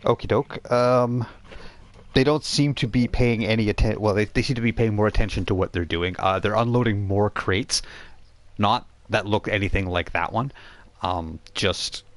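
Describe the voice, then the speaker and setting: A man's voice: fairly low pitch, normal speed, no echo. A man speaking as game master, narrating a tabletop role-playing game in a casual, explanatory way.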